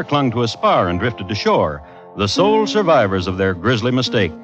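A man hosting and narrating a 1950s radio crime series in a formal, wry, measured style. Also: mid-range voice. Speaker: host and narrator. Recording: dull old radio recording with music.

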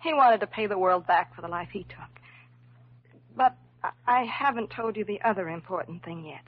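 An actress playing a worried, loyal young woman in a classic radio drama, with a husky voice and an earnest, emotional delivery. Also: medium pitch, moderate pace, natural voice. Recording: muffled old radio recording.

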